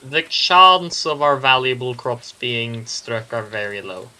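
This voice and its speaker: A man's voice: medium pitch, expressive, takes it slowly, sharp tone, low-quality recording. A young man with a Norwegian accent, a native Norwegian speaker, chatting casually during a co-op video game. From Norway. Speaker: video game player in a co-op stream. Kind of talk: casual gaming chat. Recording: faint game music behind. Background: game music.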